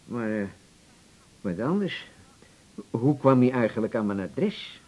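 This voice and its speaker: An actor playing a good-natured, easily swayed husband in a radio play, speaking in a hesitant, hedging way. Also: male voice, medium pitch, big pitch swings, slow pace, mellow. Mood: mildly sheepish. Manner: hesitant, mumbling polite hedging.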